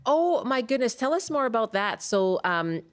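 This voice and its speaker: A woman from Canada hosting a live call-in radio show, chatty and quick to laugh. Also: on the high side, big pitch swings. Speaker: radio host.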